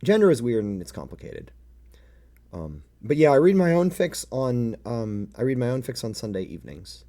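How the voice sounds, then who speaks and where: A man in his late thirties chatting casually and haltingly with livestream viewers, in a self-deprecating way. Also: very animated, dark tone. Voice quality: deep. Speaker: streamer reading own fan fiction.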